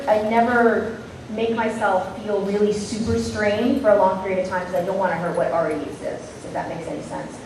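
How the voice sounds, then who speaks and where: A woman voice actress answering questions on a convention panel, hesitant and thoughtful, with many pauses. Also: medium pitch, slight background noise. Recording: echoey hall from far off.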